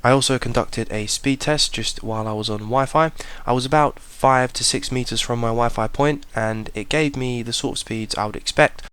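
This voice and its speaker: Male tech reviewer, mid pitch, clean smooth tone, steady explanatory voice-over. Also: dry recording. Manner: plain, measured, explanatory. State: slight cold.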